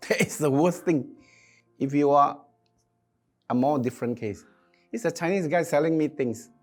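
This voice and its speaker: A man's voice: on the high side, measured pace, faint music behind. A Singaporean man chatting casually in colloquial Singlish phrasing. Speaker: interviewee. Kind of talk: casual chat.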